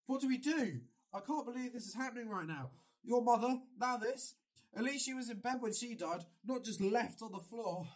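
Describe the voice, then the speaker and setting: A man's voice: extremely high pitch, expressive, moderate pace. A young man acting a cautious, reluctant, hesitant friend in a radio drama. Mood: shaken.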